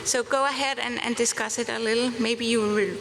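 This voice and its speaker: A woman presenting at a conference workshop, talking gently and encouragingly, with halting restarts. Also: on the high side, steady tone, vocal fry. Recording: conference hall reverb, faint noise.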